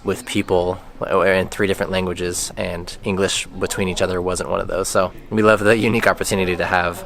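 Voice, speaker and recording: Deep-voiced American man, casual vlogger. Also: level tone, brisk, a bit bright. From United States. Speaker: travel vlogger. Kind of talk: casual vlog chat. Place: outdoors in a town. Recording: outdoor ambience with clear background noise.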